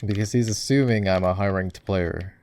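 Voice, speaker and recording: An easygoing gamer boyfriend, played by a male voice actor, talks in a lowish voice with plenty of fry. He rambles playfully through casual game coaching, often breaking off his own sentences. It has the intimate, chatty feel of an ASMR roleplay. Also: moderate pace, darkish tone. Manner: rambling, self-interrupting, playful.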